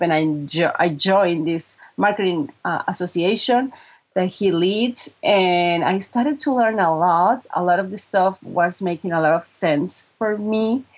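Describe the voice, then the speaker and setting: A woman's voice: lowish voice, slightly rough, rough recording. A middle-aged woman from Mexico, a Spanish speaker, earnestly answering interview questions with frequent restarts. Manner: earnest, restarts often, halting then quick. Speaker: guest, voiceover artist and marketing consultant. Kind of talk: interview conversation.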